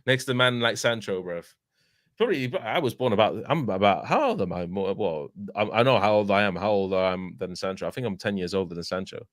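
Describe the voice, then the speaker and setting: A man in his thirties with a London accent, chatting casually as a fan channel host, telling animated stories with lots of filler tags. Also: on the low side, brisk, no room sound, clean studio sound. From England. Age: 30s. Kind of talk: casual chat.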